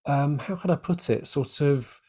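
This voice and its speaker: A man's voice: fairly high pitch, normal speed, dark tone. A man interviewing a guest in a conversational, reflective and wordy way, circling around his point.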